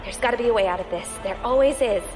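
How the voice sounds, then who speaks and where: An actress playing a brash young space pirate, quick, sarcastic and observant. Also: female voice, a little high, over music, natural voice. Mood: rattled. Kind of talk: audio drama acting.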